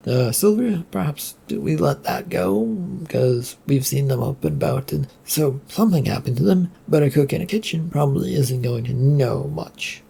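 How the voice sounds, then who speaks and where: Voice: mid-range voice, animated, normal speed, vocal fry, hardly any pauses, warm tone, breathy edge, full character voice. A storyteller voicing an unsure, polite young man with a hesitant, placating manner.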